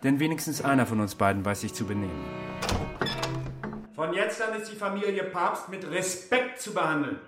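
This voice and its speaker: Radio play bureaucrat of the Nazi regime, male actor: smug, emphatic, very lively pitch, prominent music underneath. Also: normal speed, lightly acted. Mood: smugly condescending. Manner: officious, emphatic, condescending. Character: overbearing Nazi official, smug, falsely courteous. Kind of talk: radio drama acting.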